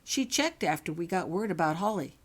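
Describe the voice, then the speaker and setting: A woman voicing a calm, warm-hearted adult male wizard in a storybook reading, friendly and direct. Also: fairly low pitch, a little fast. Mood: calm, reassuring.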